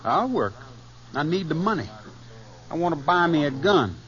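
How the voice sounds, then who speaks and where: An actor in a 1950s radio western playing a sullen, challenging young American gunslinger. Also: male voice, a little high, big pitch swings, moderate pace, a bit bright. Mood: cocky. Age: young adult. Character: touchy, cocky young gunslinger spoiling for trouble. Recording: muffled old radio recording.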